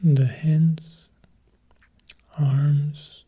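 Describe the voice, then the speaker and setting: Very slow, dark-voiced man guiding meditation. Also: on the high side, breathy edge. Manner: calm, measured, short phrases with long pauses. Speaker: meditation teacher.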